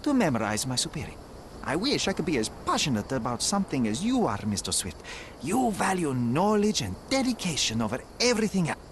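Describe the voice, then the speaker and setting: An actor playing a young male detective in disguise, polite and quick-witted in a video game. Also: on the high side, wildly swooping pitch, slight background noise. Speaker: lead character.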